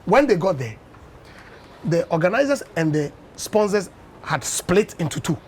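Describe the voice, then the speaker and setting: A Ghanaian man in his fifties, a former dance champion, tells unhurried stories as a guest on a breakfast TV interview, often adding "you know.". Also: sits high, sing-song, darkish tone, a little hiss or hum. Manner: anecdotal storyteller, unhurried with many "you know"s. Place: television studio.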